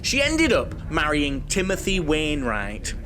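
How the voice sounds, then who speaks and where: A man's voice: wildly swooping pitch, takes it slowly, exaggerated voice. An actor playing a naive, over-friendly, lonely mother's boy with a Northern English accent in an audio play, wittering away in a homely manner. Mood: wistful, confiding. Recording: noisy, vehicle rumble behind.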